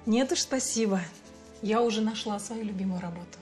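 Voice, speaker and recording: An actress playing a patient, playful mother in her forties with a Russian accent, gently explaining things to her child in a TV sitcom. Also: medium pitch, brisk, soft background music, natural voice. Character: bilingual mother, patient, fond of teaching. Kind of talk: television sitcom acting.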